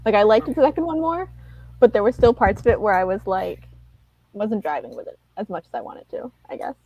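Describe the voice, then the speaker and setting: A woman with a mellow, husky voice of medium pitch chats casually about books as a co-host. The audio is a little dull. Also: animated, fairly quick. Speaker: read-along co-host. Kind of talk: casual book discussion. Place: online live video chat.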